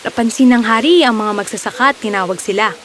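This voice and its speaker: A woman's voice: a little high, fast, background noise. A woman reading scripted fairy tale narration for children as the storyteller. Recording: music under the voice. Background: music.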